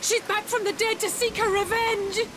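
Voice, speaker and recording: An actress playing a hysterical, accusing village woman in a game cutscene, shrill and vehement. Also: flat delivery, moderate pace, noisy background. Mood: panicked. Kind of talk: video game cutscene acting.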